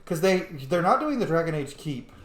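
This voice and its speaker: A man's voice: on the high side, sing-song, moderate pace. Slangy male gamer in echoey room. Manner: slangy, sweary, reacts to game dialogue. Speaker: gaming host, player. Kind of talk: casual playthrough commentary.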